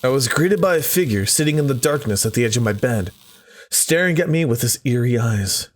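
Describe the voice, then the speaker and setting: A man's voice: mid-range voice, lively intonation, a little fast. A man doing a let's play, dramatically reading visual novel text aloud and breaking off for joking asides. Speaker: gaming video host.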